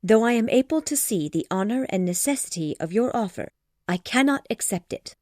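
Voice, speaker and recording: A woman's voice: fairly high pitch, lively intonation, brisk, a little mellow, no room sound, studio quality, voice pushed up, close to an everyday voice. A woman voicing a spirited young woman who firmly and earnestly refuses a suitor. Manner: firm, earnest, exasperated. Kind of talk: storybook character voice.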